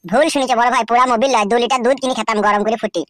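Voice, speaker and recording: Cartoon sidekick lad, female-sounding actor, foul-mouthed, very rapid patter, West Bengal Bengali accent, dry recording. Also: a little high, steady tone, a bit bright, no echo, lightly acted. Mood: cheeky. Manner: cheeky, crude, gossipy. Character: cheeky, foul-mouthed young male henchman. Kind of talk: comedy cartoon voice acting.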